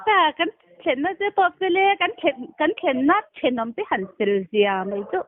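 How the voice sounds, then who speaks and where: High-voiced woman on a tinny phone line. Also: sing-song, normal speed. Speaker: phone caller. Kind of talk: casual phone chat. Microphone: telephone.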